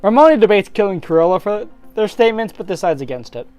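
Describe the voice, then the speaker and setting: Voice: mid-range voice, sing-song, fast, darkish tone, soft background music. An amateur narrator and co-host who reads a story dramatically and chats casually about it.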